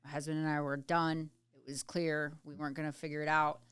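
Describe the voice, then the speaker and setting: A woman's voice: deep voice, level tone, a little fast, fairly bright tone, clean tone, no room sound. A woman in her late thirties co-hosting a podcast, chatting animatedly and swearing casually. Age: 30s. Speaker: podcast co-host.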